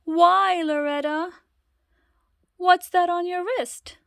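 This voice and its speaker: A woman putting on a frantic, fretting mother with shrill, high exclamations. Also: expressive, takes it slowly, a bit bright, no room sound, studio quality, heavily acted. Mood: alarmed. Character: frantic shrieking mother of a young girl.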